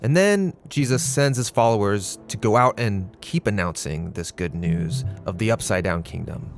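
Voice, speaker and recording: A man's voice: on the low side, lively intonation, normal speed, gravelly. A man co-hosting an educational video in a casual, plain-spoken, conversational narration style. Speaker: co-host of an educational Bible video series. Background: background music.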